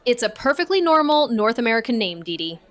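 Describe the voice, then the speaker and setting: An actress playing an awkward, evasive young niece in a naturalistic audio drama, halting and flustered as she talks. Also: a little high, big pitch swings, fairly bright tone. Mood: defensive. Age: young adult.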